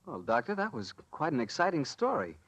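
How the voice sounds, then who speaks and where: A man's voice: medium pitch, sing-song, fairly quick, a bit bright, husky, no room sound. A man with an American accent hosting and announcing in a polished, emphatic 1940s radio sponsor style.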